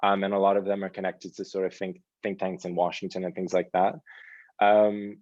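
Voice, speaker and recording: A man's voice: low-pitched, monotone, brisk, a little mellow, no echo, dull audio. A man on a panel video call who talks thoughtfully and searches for his words. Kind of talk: casual panel discussion.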